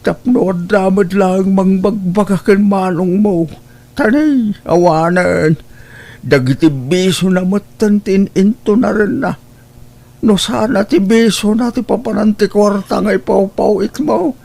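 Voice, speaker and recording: A man's voice: high voice, unhurried, vocal fry, warm tone, full character voice. A man with an Ilocano Filipino accent playing a worried, weary old father in an audio drama, plaintive and sighing.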